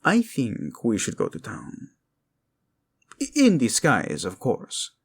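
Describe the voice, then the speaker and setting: Casting: male actor for lovesick, protective king, Spanish accent, breathy husky tone, unhurried intimate delivery for audio romance. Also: mid-range voice, huge pitch swings, full character voice. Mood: playful, tender. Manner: tender, halting, dramatic, sprinkles in endearments. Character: protective young king, tender, lovesick, proud, playful romantic. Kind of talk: intimate romantic audio drama acting addressed to listener.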